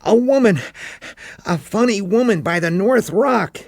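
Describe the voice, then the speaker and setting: Man putting on an excited, high-pitched young boy. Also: a little slow, gravelly, no echo, clean studio sound, full character voice. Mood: excited, urgent. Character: excited breathless young beach boy, urgent and awed. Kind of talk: dramatic audiobook character voice.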